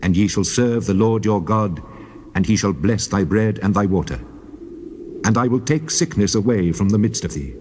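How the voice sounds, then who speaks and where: A man's voice: lowish voice, animated, normal speed, a little mellow, lightly acted. A man playing a solemn, authoritative God in a dramatized scripture reading. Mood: solemn, commanding. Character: God of Israel, male, commanding, solemn lawgiver. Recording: clearly noisy old cassette tape.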